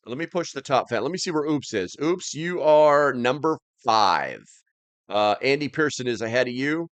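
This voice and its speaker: A man's voice: medium pitch, expressive, normal speed, dry recording. A man hosting a live stream, chatting casually as he reads and answers comments in a rambling, plain-spoken, slightly grumbly way.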